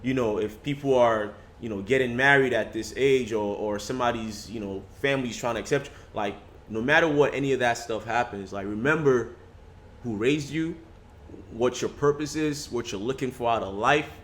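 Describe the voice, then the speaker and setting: A man's voice: medium pitch, moderate pace, fairly bright tone, slight background noise. A young man talking in a casual podcast discussion, reflective and full of restarts. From Nigerian family background. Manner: reflective, with frequent restarts and "you know".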